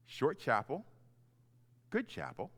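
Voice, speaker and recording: A middle-aged man, a pastor, giving an informal, halting children's message in simple, conversational words. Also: medium pitch, huge pitch swings, a bit bright, slightly rough. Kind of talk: gentle children's sermon, informal storytelling.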